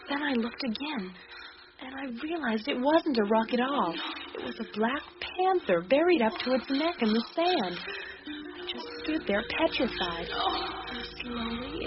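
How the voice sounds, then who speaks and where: An actress playing a defiant, skeptical young woman in a radio drama, with a blunt, exasperated, sardonic manner. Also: sits high. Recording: muffled radio recording with clicks and hiss.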